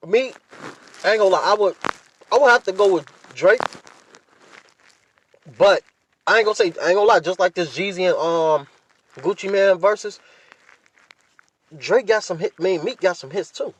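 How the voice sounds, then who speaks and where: Higher-voiced American man chatting casually. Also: moderate pace, pauses often, slight background noise. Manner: informal slangy talk with frequent profanity. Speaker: podcast host. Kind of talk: casual solo chat with opinions, addressing listeners.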